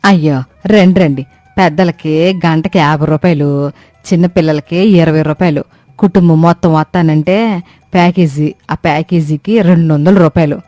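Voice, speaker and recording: Woman playing a bossy mother-in-law, lower-pitched. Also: normal speed, mellow, no room sound, soft background music, natural voice. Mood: businesslike. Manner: scolding, haggling, exclamatory. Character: bossy, stingy, boastful village mother-in-law. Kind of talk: Telugu comic cartoon story acting.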